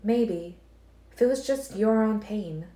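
A woman voicing a calm, foreseeing young woman who speaks in an implacable way. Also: mid-range voice, slow, pitched above the performer's own voice, natural voice. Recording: roomy echo.

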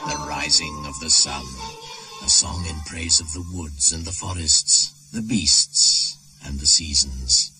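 A man's voice: a bass voice, slow pace, bright, forceful tone, exaggerated voice, poor audio. An actor playing an ancient pagan shaman spirit, proud and brooding, in a solemn incantatory radio play monologue. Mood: brooding, resentful. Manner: incantatory, solemn, poetic delivery. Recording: 1980s radio drama with music behind.

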